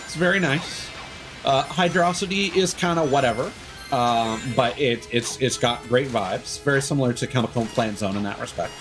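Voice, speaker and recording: A man's voice: medium pitch, normal speed. A man livestreaming games with casual, rambling, opinionated and sweary commentary. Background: game music.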